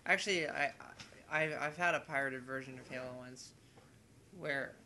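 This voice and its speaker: A man's voice: medium pitch, moderate pace, bright, forceful tone. A young man chatting casually about games as a podcast guest, rambling and rephrasing himself as he goes. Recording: amateur podcast, clear background noise.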